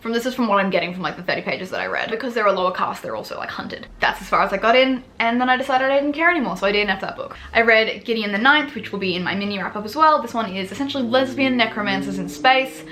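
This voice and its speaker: A woman's voice: fairly high pitch, expressive, quick pace, few pauses, bright, forceful tone, a little hiss or hum. A woman, chatting casually and self-deprecatingly about the books she read this month from a small home study. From Australia. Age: 20s. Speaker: book vlogger. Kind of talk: casual monthly reading wrap-up.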